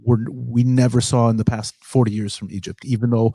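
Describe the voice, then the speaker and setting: A man hosting a podcast, asking questions in a halting way, often repeating words as he frames them. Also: mid-range voice, level tone, warm tone, no room sound. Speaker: podcast host. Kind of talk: interview questions.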